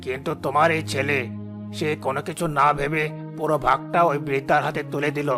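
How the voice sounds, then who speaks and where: A man voicing a wealthy, humbled man in a cartoon moral drama, solemn, emphatic and confessional, with a gravelly voice. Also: fairly high pitch, little pitch movement, fairly quick, music in the background, lightly acted. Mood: remorseful. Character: wealthy proud man, now humbled and remorseful.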